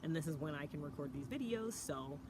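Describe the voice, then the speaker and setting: Soft-spoken woman vlogging outdoors. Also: deep voice, expressive, a little fast. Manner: soft, hushed early-morning talk. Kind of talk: casual reflective talk to camera. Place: outdoors by a fire pit with a mountain view. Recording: outdoor ambience with background noise.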